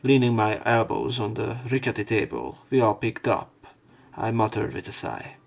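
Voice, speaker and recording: A man's voice: lowish voice, sing-song, moderate pace, vocal fry. A man narrating a visual novel let's play, reading the story text aloud with commentary.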